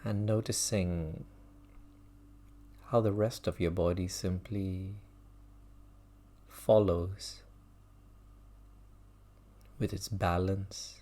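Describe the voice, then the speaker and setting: Low-voiced man slowly guiding a meditation. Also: fairly even intonation. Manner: gentle, unhurried, with long pauses. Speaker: meditation teacher. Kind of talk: guided mindfulness meditation.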